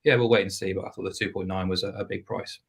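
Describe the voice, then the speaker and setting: Football pundit, low flat voice, slightly hoarse, measured and hesitant. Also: male voice. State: losing his voice. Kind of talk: casual podcast chat.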